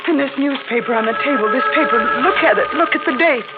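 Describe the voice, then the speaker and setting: A haunted, fatalistic widow in a 1940s radio thriller. Her delivery is anxious and broken by repeated words. The sound is narrow and aged, with clear music behind it. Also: sits high, animated, quick pace. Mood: terrified. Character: frightened, fatalistic young widow, fearing her dead husband.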